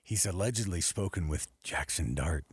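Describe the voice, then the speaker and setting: A man hosting a sports radio talk show in an informal, slangy, animated way. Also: sits low, normal speed, slightly rough, no echo, studio quality.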